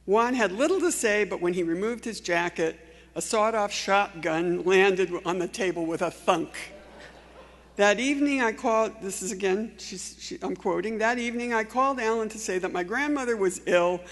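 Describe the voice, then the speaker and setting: An older woman giving a prepared eulogy with personal anecdotes, speaking as a former student at a memorial. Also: medium pitch. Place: large music hall, at a memorial event. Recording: reverberant hall sound.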